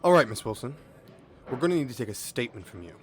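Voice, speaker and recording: A man's voice: medium pitch, sing-song, fast, background noise, lightly acted. A man playing a pushy, accusing county police officer who badgers a witness with repeated questions in a radio play. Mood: stern, insistent.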